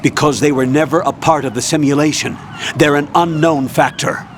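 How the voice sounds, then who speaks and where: A man's voice: fairly high pitch, steady tone, moderate pace, a little hiss or hum, lightly acted. An actor playing a curt, authoritative male military colonel in a video game, with clipped delivery. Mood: stern. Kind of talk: dramatic video game acting.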